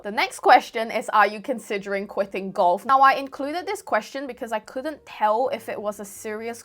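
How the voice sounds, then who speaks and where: Chatty young woman, Singaporean accent. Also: a little high. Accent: Singaporean with a light British mix. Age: 20s. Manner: chatty, rambling, self-correcting. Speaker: golf YouTuber. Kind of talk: casual answering of viewer questions to camera. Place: room at home with football shirts on the wall.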